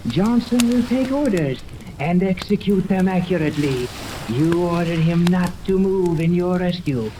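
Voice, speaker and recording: An actor in a 1930s radio serial playing a boastful mad scientist villain, speaking slowly and formally with a gloating menace. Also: male voice, high voice, expressive. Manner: slow, gloating, formal speech with sinister chuckles. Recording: noisy 1930s radio recording with rain-like hiss.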